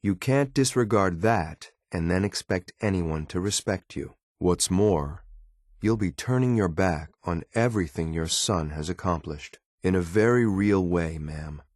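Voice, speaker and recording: An actor playing a loyal, disapproving android soldier in a video game, speaking in a formal, clipped, deadpan and blunt way. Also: male voice, deep voice, a little slow, no room sound, studio quality. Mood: dry, blunt. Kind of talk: video game companion voice lines.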